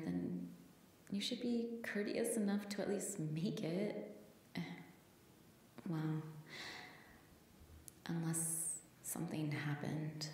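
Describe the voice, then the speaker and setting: A woman's voice: fairly low pitch, warm tone, full character voice. A young woman playing a shy, flustered woman reuniting with an old flame in an intimate, hesitant, giggly ASMR roleplay. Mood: muttering, wry. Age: young adult. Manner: hesitant, giggly, often breathy asides. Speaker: girlfriend roleplay audio performer.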